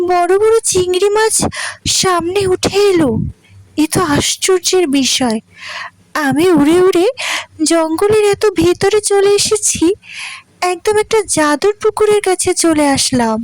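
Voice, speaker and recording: A woman's voice: very high-pitched, no echo, rough recording. A woman voicing a tender, earnest mother tailorbird in a children's storybook cartoon. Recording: music under the voice.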